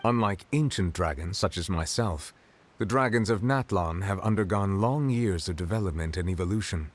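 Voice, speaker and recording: A man voicing a dignified, reserved ancient dragon statesman in a video game, formal and measured. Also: deep voice. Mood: solemn, formal. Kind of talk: video game character acting. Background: faint game music.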